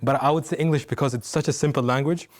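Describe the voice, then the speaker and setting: A man's voice: medium pitch, fairly even intonation, quick pace. A man in a group discussion speaking lightheartedly and joking. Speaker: student debater.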